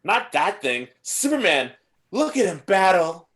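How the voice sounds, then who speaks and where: An excitable little robot sidekick, acted out by a performer at a table read, gushing with hero worship. The pitch leaps about in an animated, swooping way. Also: a little slow. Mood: awed, excited. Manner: gushing, exclamatory. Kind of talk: amateur script table read.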